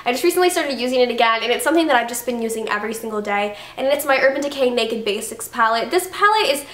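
A woman's voice: on the high side, animated, quick pace, a bit bright, reverberant. Congested young woman gushing over products. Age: young adult. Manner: chatty, gushing, frequent "like". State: congested from a cold. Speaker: beauty vlogger. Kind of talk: casual product review. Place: bedroom, indoors.

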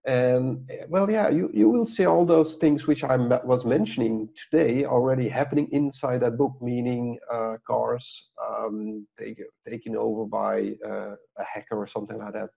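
A man's voice: mid-range voice, fairly even intonation, normal speed, gravelly, few pauses, slightly rough. A middle-aged man whose first language is Dutch, a book author talking casually on a video call, rambling with many fillers and restarts. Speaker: author and guest. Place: office with branded backdrop. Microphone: lapel mic on video call. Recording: dull lo-fi video call audio.

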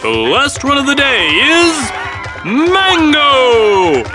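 High-pitched, excited race announcer. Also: male voice, extremely slow, full character voice. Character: excited race announcer hailing a monkey champion. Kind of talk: children's cartoon announcing. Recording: loud music and crowd behind.

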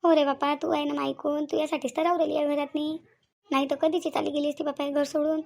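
A woman's voice: high voice, little pitch movement, fast, creaky, darkish tone. A woman voicing a fretful middle-aged mother in a cartoon family drama, pleading plaintively in a Varhadi Marathi accent. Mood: weary complaining. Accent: Varhadi Marathi from Vidarbha.

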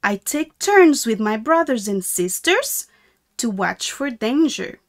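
A woman voicing a friendly little meerkat in a children's storybook, with a simple, deliberate, childlike sing-song delivery. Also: on the high side, big pitch swings, full character voice.

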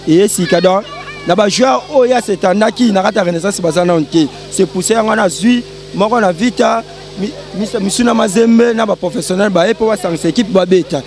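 Rambling young Congolese man, high voice. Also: a little mellow, soft background music. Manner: rambling, halting analysis. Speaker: interviewee, football fan. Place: outdoors on a city street. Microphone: reporter's handheld mic.

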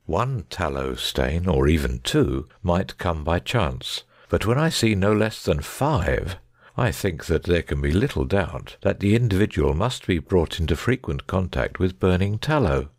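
A man's voice: low-pitched, sing-song, measured pace, dry recording, clean studio sound, exaggerated voice. A man playing a brilliant, self-assured detective in a dramatic audiobook, speaking in a precise, didactic way.

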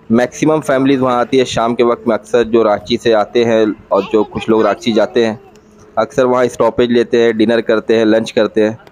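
A man's voice: medium pitch, darkish tone, poor audio. A man in his thirties from India, casually narrating a family travel vlog at a roadside restaurant in the evening and recorded on a phone camera. He is chatty, repeats his points, and mixes English words into Hindi.

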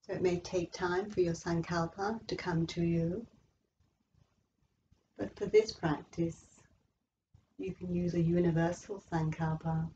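Female meditation guide, soft-edged dark timbre, slow and soothing, slightly muffled recording. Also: fairly low pitch, fairly even intonation, a little hiss or hum. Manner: slow, measured, soothing delivery with long pauses. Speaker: yoga nidra instructor.

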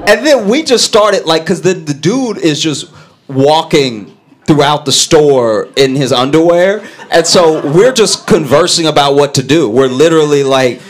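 A young man telling a stand-up story on a club stage into a handheld mic, animated and laughing as he acts out characters. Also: a little slow. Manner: animated, laughing at his own story, acting out characters in low voices. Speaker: stand-up comedian. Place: brick-walled comedy club stage.

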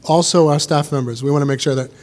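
A man answering safety questions from a podium at a town meeting, in a brisk, reassuring manner. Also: fairly high pitch, steady tone, darkish tone. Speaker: school safety official. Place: school auditorium stage.

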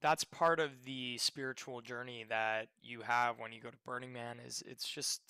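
A man's voice: medium pitch, fairly even intonation, normal speed, pushed and bright, smooth, dry recording. A man in his thirties, a podcast host, chatting in a reflective way over a headset on a video call from a small room. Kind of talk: casual interview. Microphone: over-ear headset on video call.

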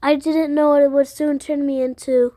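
A woman's voice: sits high, level tone, moderate pace, dark tone, dry recording, studio quality, natural voice. A woman voicing a weary, earnest female cartoon rabbit in an amateur game cutscene. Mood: resigned, urgent. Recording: music behind the voice. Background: music.

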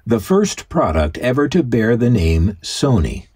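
A man's voice: medium pitch, sing-song, a little slow, a little mellow, slight background noise. A man hosting and co-narrating in a scripted but conversational documentary style. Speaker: host and co-narrator.

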